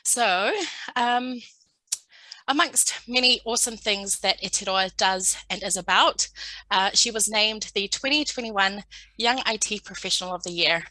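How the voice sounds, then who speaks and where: A woman's voice: mid-range voice, unhurried, no echo, rough recording. A woman, a native Samoan speaker, interviewing a guest over a video call and cheering her on. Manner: warm and encouraging, cheers the guest on. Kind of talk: interview questions.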